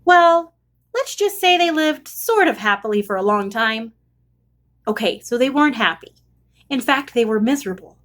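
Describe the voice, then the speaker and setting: A woman reading a children's storybook aloud in an expressive storytelling style. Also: on the high side, normal speed. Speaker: storybook reader.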